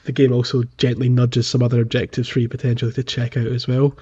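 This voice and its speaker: A man co-hosting a podcast, talking casually about a video game with hesitant, measured phrasing. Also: mid-range voice, little pitch movement, warm tone, breathy edge, highs cut off. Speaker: podcast co-host.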